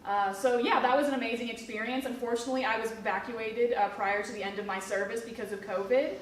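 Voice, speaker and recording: A woman's voice: on the high side, fairly even intonation, fast talker, slight background noise. A young woman in her twenties from Kentucky, chatting casually in an interview with many fillers. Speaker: museum education specialist. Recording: echoey room from a distance.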